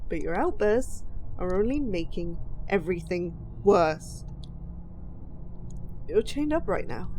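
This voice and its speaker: Woman playing a yandere girl kidnapper, audio roleplay, mid-pitched, unhurried, hesitant, flustered, apologetic, noisy background. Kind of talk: audio roleplay acting, spoken to the listener.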